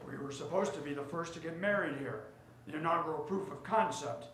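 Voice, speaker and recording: A man's voice: fairly high pitch, fairly quick, fairly bright tone, natural voice. A man playing a sentimental, self-important store heir in a 1940s-style radio drama, speaking formally and theatrically. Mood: wistful, confiding. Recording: roomy theater stage, faint noise.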